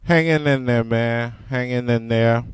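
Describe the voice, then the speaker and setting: A man hosting a podcast interview in an unhurried, laid-back way. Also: mid-range voice, clean tone, no room sound. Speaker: podcast host. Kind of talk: casual interview.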